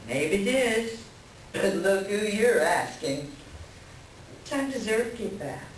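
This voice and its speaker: Woman reading the part of a jaded wife and mother, folksy, blunt, unhurried, stage play reading, roomy with faint noise. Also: mid-range voice, expressive. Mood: weary. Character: world-weary, blunt mother fed up with her straying husband. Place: library reader's theater reading. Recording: echoey room from far off, faint noise.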